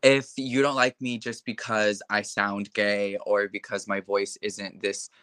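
A man's voice: on the low side, level tone, measured pace, a bit bright, smooth, no room sound. A young man being interviewed in casual conversation. He speaks with impassioned conviction and leans heavily on filler words. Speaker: interview guest.

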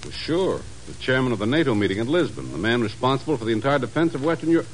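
A middle-aged man playing a sceptical, excitable intelligence chief in a 1950s radio drama, blustery and incredulous. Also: medium pitch, fast, slight background noise, lightly acted, rough recording. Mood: alarmed.

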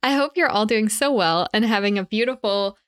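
A woman's voice: mid-range voice, fairly quick, no room sound, studio quality. A young Canadian woman hosting her podcast, chatting casually and enthusiastically with frequent restarts. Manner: enthusiastic, gushing, many restarts. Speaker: podcast host.

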